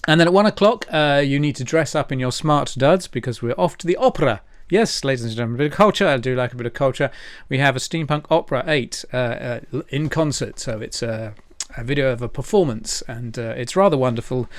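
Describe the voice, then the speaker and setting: A man's voice: mid-range voice, fairly quick, no echo. A man hosting an informal livestream, chatty and hesitant as he rambles through announcements. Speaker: event host and presenter.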